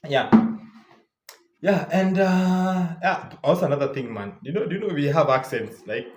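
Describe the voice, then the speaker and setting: A man's voice: on the high side, moderate pace, roomy sound. A young Kenyan man with a Kenyan English accent, giving casual travel tips in a loose, chatty way full of repeats and fillers. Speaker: travel vlogger. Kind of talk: casual travel advice talk.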